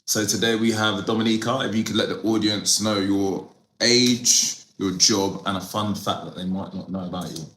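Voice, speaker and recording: A man hosting a podcast in a relaxed, teasing way. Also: fairly low pitch, moderate pace, echoey room. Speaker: podcast host. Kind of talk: casual interview. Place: podcast studio.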